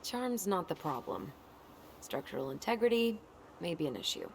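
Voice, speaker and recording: A woman's voice: on the low side, big pitch swings, moderate pace, gravelly, darkish tone. A young woman with an American accent playing a guarded, practical character with dry wit in a video game cutscene. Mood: wistful, dry. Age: young adult. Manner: dry, understated. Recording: noisy game stream capture with ambience.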